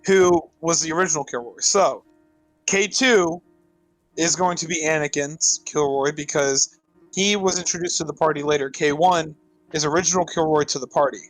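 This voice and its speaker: A man's voice: on the high side, normal speed, no echo, faint music behind, low-quality recording. A man playing a tabletop roleplay game, explaining things casually to the group.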